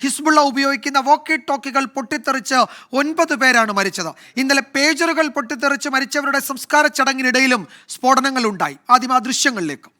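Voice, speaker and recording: A male news anchor with a very high, bright voice. He reads the headlines in a crisp, formal studio manner. Then he turns to a colleague with questions. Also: fairly quick.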